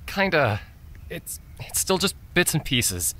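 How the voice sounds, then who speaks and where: A man's voice: fairly high pitch, big pitch swings, slow, sharp tone, full character voice. A man playing a self-doubting young eldest brother in an audio drama, with a hesitant, defensive way of talking.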